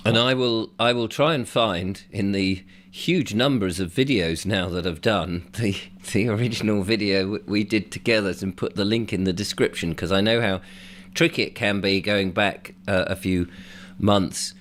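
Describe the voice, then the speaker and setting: A man hosting an interview, croaky from a sore throat, chatting with loose rambling asides. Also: fairly low pitch, normal speed, a bit bright, a little hiss or hum. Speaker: host.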